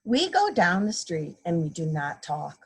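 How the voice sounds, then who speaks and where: A woman reads a children's story at a slow, deliberate pace. Her voice is gravelly and a little rough, and she explains words simply for small listeners, playing up the little sound effects. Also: on the low side, wildly swooping pitch, low-quality recording. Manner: playfully acts out sound effects. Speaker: guest storybook reader, a mother. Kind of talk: read-aloud children's story time, simple teacherly explaining.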